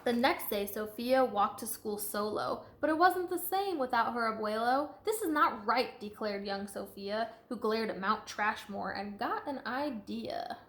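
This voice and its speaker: A woman reading a children's picture book aloud with expressive emphasis and chatty asides to listeners. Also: on the high side, measured pace, hardly any pauses, a little hiss or hum. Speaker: storybook reader. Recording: echoey room.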